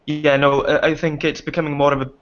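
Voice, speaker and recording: A man's voice: fairly high pitch, flat delivery, quick pace, a bit bright. A young man, a performer and writer, talking hesitantly with many fillers and restarts in a casual panel discussion over a video call from a small dim room. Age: young adult. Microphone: laptop webcam video call. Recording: muffled video call audio.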